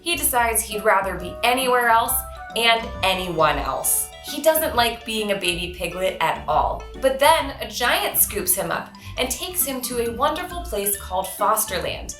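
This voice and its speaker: Bright-voiced woman reading a children's story. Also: on the high side, measured pace. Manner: expressive, storyteller-like. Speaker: children's author reading aloud. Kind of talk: storybook read-aloud for children. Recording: roomy echo, music behind.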